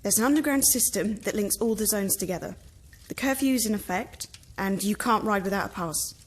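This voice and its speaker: A woman's voice: mid-range voice, moderate pace, lightly acted. A woman playing a grave rebel villager in an audio drama, earnest and explanatory. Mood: grave, informative.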